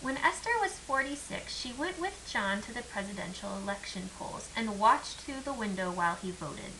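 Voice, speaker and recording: A woman reading a children's picture book aloud as an expressive storyteller. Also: mid-range voice, normal speed, low-quality recording. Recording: clear background hiss.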